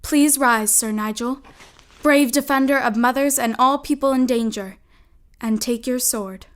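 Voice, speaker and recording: A high, girlish voice from a woman acting as a bossy big sister in a period audio drama. She coaxes and commands with theatrical flair, always scheming. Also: a little slow, natural voice. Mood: grandly solemn. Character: bossy, scheming older sister, a girl in an old-fashioned household.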